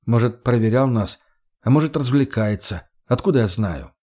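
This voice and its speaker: A man playing a self-assured, curt gang leader in an audiobook, speaking in a dry, calm, crude way. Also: mid-range voice, moderate pace, natural voice. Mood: resigned, firm. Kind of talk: audiobook character voice.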